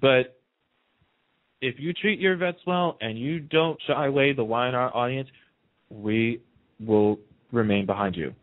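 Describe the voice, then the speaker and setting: A man's voice: medium pitch, measured pace, pauses often. A man hosting a casual, opinionated radio chat about television, speaking emphatically and repeating phrases for stress. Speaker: radio show host. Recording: narrow, phone-like radio line.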